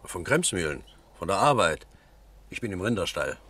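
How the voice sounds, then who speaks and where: Husky male voice, plain-spoken farm worker, lively swooping pitch, hesitant, radio crime drama. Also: normal speed, full character voice. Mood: uneasy. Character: village cattle-barn worker, startled but helpful witness.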